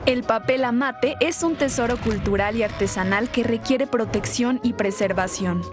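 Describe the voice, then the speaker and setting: Woman, documentary narrator, higher voice, polished read, music bed. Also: moderate pace. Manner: smooth, even read. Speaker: narrator of a video segment.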